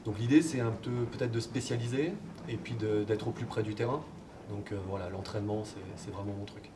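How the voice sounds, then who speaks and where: A man, a football coach, answering interview questions in a relaxed, hesitant way with many fillers. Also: fairly low pitch, fairly quick. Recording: distant mic, background noise.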